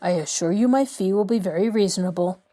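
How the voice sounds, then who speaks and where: A woman voicing a courteous middle-aged male lawyer who speaks in a measured, reassuring way. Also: mid-range voice, warm tone, close to an everyday voice. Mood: calm.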